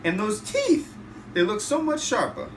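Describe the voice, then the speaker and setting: Bold little storybook girl played by a man, animated. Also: high-pitched, measured pace, voice pushed up, heavily acted. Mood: suspicious. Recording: roomy, distant, noisy home recording.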